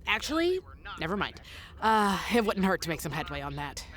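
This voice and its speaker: A woman's voice: mid-range voice, big pitch swings, fairly quick, fairly bright tone. An actress playing a flustered, exasperated woman boss and radio interviewer in a comedy audio drama, hesitant and apologetic. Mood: weary, hesitant.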